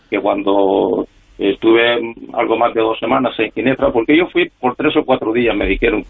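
A man's voice: mid-range voice, gravelly. An older man, a former freelance spy, tells his story on a radio interview at length, with many fillers and restarts. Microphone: telephone call. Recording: tinny phone line.

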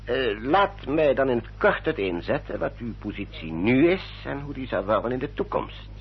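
An actor playing a courteous English family solicitor in a radio drama, speaking in a formal, measured, explanatory manner. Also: male voice, mid-range voice, sing-song, husky, full character voice. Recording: dull, muffled old radio recording.

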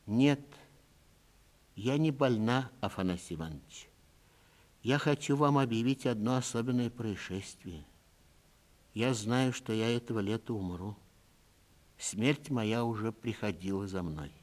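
A man voicing a gentle, resigned old woman who speaks quietly and earnestly in a literary story. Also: fairly low pitch, unhurried, long pauses, natural voice. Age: older.

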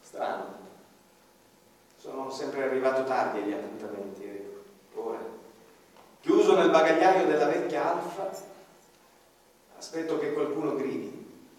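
A man performing a radio-theatre monologue as a dead man telling his own story, solemn and slow, his voice swelling and then sinking low. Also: darkish tone. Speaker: narrator. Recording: echoey hall, voice far from the mic. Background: violin music.

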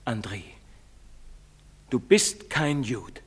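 Village priest, solemn, earnest, halting, widely swinging pitch, stage drama, long reverb. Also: male voice, big pitch swings, fairly bright tone, husky, full character voice. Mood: solemn, hesitant. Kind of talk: theatrical stage-play acting. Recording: long reverberant hall sound.

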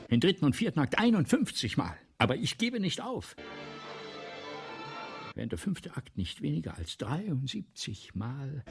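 An actor playing an ailing, embittered, self-dramatizing playwright in a German radio play, speaking theatrically in rhetorical repetitions, with a husky, gravelly voice that drops to whispers. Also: male voice, mid-range voice, full character voice. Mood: sardonic, weary. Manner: theatrical, rhetorical, building repetitions then sudden hushes.

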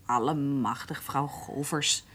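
An actress playing a curious, persistent woman who gently pries, in a Dutch radio play. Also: a bass voice, steady tone, slow, slightly rough, heavily acted. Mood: prying.

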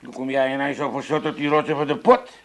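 A man's voice: medium pitch, steady tone, fairly quick, a bit bright, lightly acted. An actor playing a grumbling, sputtering old father in a comic radio drama. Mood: indignant. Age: older. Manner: querulous, sputtering repeated phrases. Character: grumbling old father, indignant, meddlesome, easily amused.

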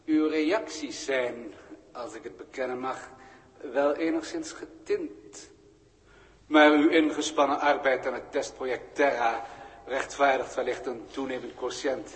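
A man playing a courteous, unflappable galactic bureaucrat in a 1960s radio drama, measured and detached. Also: mid-range voice, fairly even intonation, lightly acted. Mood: polite, dry. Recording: old radio recording, long hall reverb.

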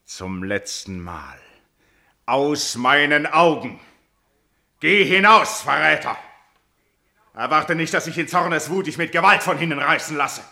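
An actor playing a wrathful, wounded royal father in a classical verse radio tragedy, declaiming solemnly and forcefully. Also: fairly high pitch, expressive, a little slow, creaky, long pauses, heavily acted. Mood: furious.

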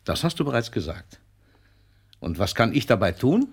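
An actor playing a wry, indulgent elderly poet in a German radio play, with a husky voice and a measured, avuncular manner. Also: male voice, mid-range voice, very animated. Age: older.

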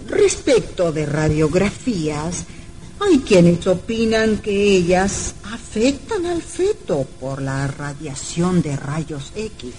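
A woman's voice: on the low side, very animated, slow, low-quality recording. An actress playing a doting, sinister elderly woman in an old radio drama, speaking in a honeyed, solicitous way. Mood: opinionated.

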